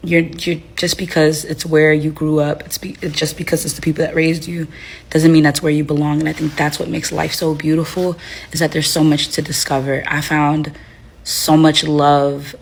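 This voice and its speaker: A young woman from Haiti, a life coach, giving casual reflective advice while unwell with a headache and tired, with many fillers and restarts. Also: low voice. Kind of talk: casual talking-to-camera advice.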